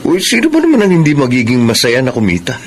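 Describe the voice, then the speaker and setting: An actor with a Filipino accent playing an evasive husband and property agent in a radio drama, hesitant and stalling. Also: male voice, medium pitch, huge pitch swings, fast talker. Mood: defensive, casual. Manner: hesitant, stalling when questioned. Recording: background noise with ambience.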